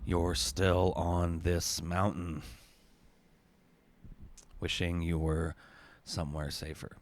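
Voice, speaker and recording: Deep-voiced man telling a dramatic spoken-word story. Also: little pitch movement, takes it slowly. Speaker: narrator, essayist.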